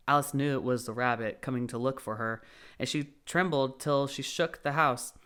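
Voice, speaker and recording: A man reading a children's story as a scripted, expressive audiobook narration. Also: medium pitch, clean tone.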